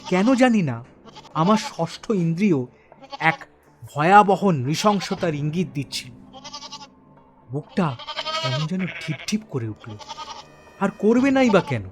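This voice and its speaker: Male narrator for horror audio story: highish voice, very expressive, suspenseful, unhurried. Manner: suspenseful, dramatic pauses. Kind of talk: dramatic scripted first-person horror narration.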